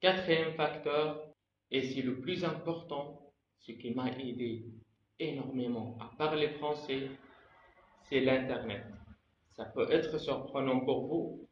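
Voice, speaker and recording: Slow, deliberate young Egyptian man. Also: mid-range voice, slight background noise. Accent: Egyptian Arabic. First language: Arabic. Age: 20s. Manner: slow, deliberate, teacherly, listing points. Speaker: channel host. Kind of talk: measured explanatory monologue. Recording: echoey room, slightly dull sound.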